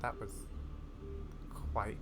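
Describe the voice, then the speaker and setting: A woman giving excitable, chatty playthrough commentary on a video game, with gushing asides. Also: extremely slow. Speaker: gaming video host. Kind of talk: excitable playthrough commentary mixed with reading game dialogue aloud. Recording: home recording with keyboard clicks and noise.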